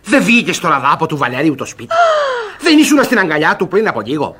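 A man's voice: fairly high pitch, big pitch swings, a bit bright, a little hiss or hum. An actor playing a bitter, woman-hating guardian in a classic radio comedy, theatrical and indignant. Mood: mocking, accusing.

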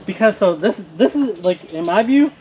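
A man chatting outdoors by a lake, explaining in an animated way. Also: sits high, moderate pace. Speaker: motovlogger. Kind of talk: casual chat on scene. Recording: muffled camera audio, loud background noise.

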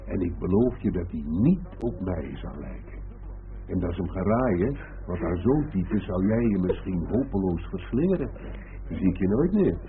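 A man's voice: low-pitched, big pitch swings, normal speed. An actor playing a middle-aged, joking father in a radio play, wry and bantering, breezy and affectionate. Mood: jovial reassurance. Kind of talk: Dutch radio play acting. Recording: muffled phone-like old radio recording, hissy.

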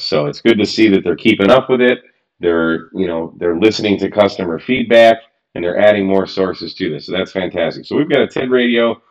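A man in his thirties with a low voice talks through radio gear in a relaxed, rambling way, full of fillers and restarts. He sits in a home office, recorded by a desk camera at a medium distance. The sound is slightly dull and lo-fi. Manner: chatty, loose, lots of fillers and restarts. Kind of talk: casual product walkthrough. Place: home office room, indoors.

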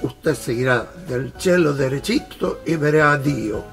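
An actor playing a worldly-wise Italian grocer in a radio play, with an Italian accent and a sly, good-natured scolding manner. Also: male voice, mid-range voice, expressive, a little slow, creaky. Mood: exasperated. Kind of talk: radio drama acting. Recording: music behind the voice.

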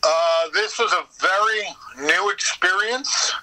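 A man giving a casual interview as a guest, telling stories in an animated way with mild swearing and quoted exchanges. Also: high-pitched, slow, low-quality recording. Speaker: podcast guest, voice actor.

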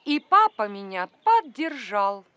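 An actress playing a brisk, decisive mother in a cartoon. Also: mid-range voice, sing-song, pushed and bright, clean tone, exaggerated voice. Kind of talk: cartoon voice acting.

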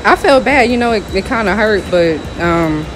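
A woman's voice: medium pitch, lively intonation, normal speed, background noise. A young woman from Kannapolis, North Carolina, giving blunt, self-assured, brief answers. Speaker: interviewee. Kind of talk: casual answers. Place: outside a store entrance. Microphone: interviewer's handheld phone. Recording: background music under voices.

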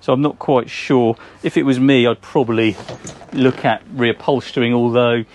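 Relaxed English man giving a boat tour. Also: mid-range voice, moderate pace, a little hiss or hum. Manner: relaxed, chatty, frequent ums, pointing out features. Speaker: boat sales presenter. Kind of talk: casual walkthrough tour. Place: outdoors on a boat in a marina.

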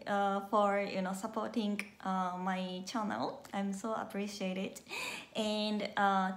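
A woman's voice: fairly high pitch, reverberant. A young Japanese woman vlogging in English with a Japanese accent, trying sweets in her kitchen and talking hesitantly with many fillers. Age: young adult. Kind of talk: casual taste-test vlog. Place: bright kitchen indoors. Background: guitar music.

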